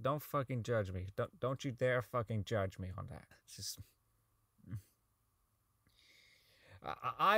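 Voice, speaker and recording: A man's voice: mid-range voice, no room sound, studio quality. A man playing a socially inept, bitter company owner in an audio drama, rambling and stammering through his log as he slides toward madness. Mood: awkward, defensive. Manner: stammering, rambling, self-loathing, foul-mouthed.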